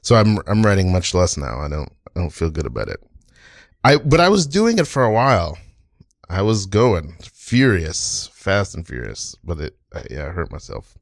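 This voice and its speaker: A man's voice: sits low, wildly swooping pitch, normal speed, creaky, no room sound, studio quality. A man hosting an improv comedy talk show in a rambling, playful, casual way that often halts mid-thought.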